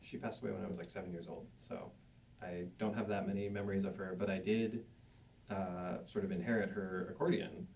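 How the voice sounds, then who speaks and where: A man's voice: low voice, fairly even intonation, a little fast, a little mellow. A man at a live panel telling a story in a chatty, upbeat way with frequent fillers. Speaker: panel guest. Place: synagogue hall, live audience. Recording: dull distant sound with faint room noise.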